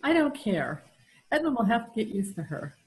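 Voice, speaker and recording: Woman playing an excitable, lively doting wife. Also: on the high side, moderate pace, rough recording. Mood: determined. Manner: gushing, fretful, emphatic. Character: doting, excitable, stubborn wife smitten with puppy. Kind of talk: old-time radio comedy acting. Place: room at home, video call.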